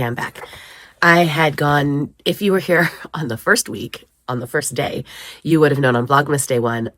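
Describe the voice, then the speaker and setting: A woman with a scratchy, croaky voice from a head cold, chatting casually to camera in her basement craft room. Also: a bass voice, a bit bright, dry recording, studio quality. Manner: chatty, rambling, with frequent ums and small laughs. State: hoarse, congested from a head cold. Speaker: crafting vlogger.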